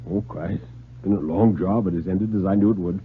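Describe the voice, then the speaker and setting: A man voicing a composed, courteous titled gentleman investigator, formal and measured, in a radio thriller reading. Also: sits low, a little hiss or hum, voice pushed down, natural voice. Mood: quietly satisfied. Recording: lo-fi 1950s radio recording.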